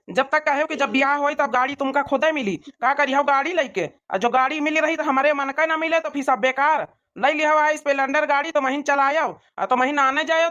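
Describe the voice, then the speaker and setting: Woman voicing a high-pitched stubborn young cartoon bridegroom. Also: fairly bright tone, lo-fi sound. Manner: insistent, sulky. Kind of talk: amateur comedy cartoon dialogue.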